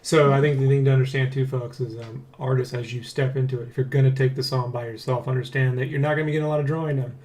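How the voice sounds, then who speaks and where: A man hosting a casual dev blog, talking in a rambling, excitable way and often checking for agreement. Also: mid-range voice, quick pace, few pauses. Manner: rambling, keeps seeking agreement with "right?" and "you know?". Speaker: dev blog host and comic artist. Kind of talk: casual dev vlog chat, addressing viewers and co-worker.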